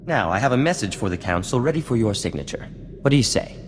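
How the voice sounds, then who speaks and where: An actor playing a vain, charming scientist in a video game, talking in grandiose, courtly phrases. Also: male voice, fairly low pitch, big pitch swings, quick pace, big-room sound, slight background noise. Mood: wheedling, courtly. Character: flamboyant, vain, charming male scientist and self-styled genius. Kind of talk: scripted video game acting. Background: music.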